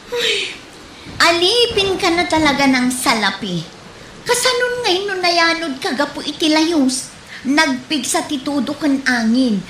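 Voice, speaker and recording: Lady playing a fed-up ilocano neighbor telling off her friend, high voice, comedy skit, echoey and noisy. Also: sharp tone, exaggerated voice. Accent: Ilocano Filipino. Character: exasperated, chiding female neighbor friend. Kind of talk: Ilocano comedy radio-style drama. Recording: roomy echo, clear background noise.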